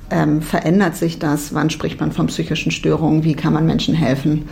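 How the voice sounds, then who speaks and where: A woman from Berlin in her forties answering interview questions thoughtfully, often pausing and restarting her sentences. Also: low-pitched, creaky, a little mellow, a little hiss or hum. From Berlin, Germany. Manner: thoughtful, many restarts and hesitations. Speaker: psychology professor.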